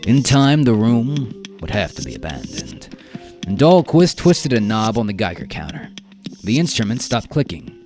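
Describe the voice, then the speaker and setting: A man narrating a science fiction radio drama in a deliberate, theatrical way with dramatic pauses. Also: on the low side, sing-song, darkish tone. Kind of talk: dramatic scripted story narration. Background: music with guitar.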